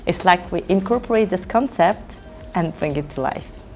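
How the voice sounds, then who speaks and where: Low-voiced woman, measured and admiring. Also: animated, faint music behind. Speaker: agency team member. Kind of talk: interview.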